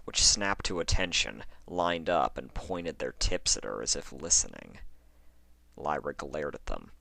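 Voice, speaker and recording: Deep-voiced man reading a horror story. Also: creaky, no room sound. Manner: theatrical, expressive. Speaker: fan fiction narrator. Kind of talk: dramatic scripted horror story narration.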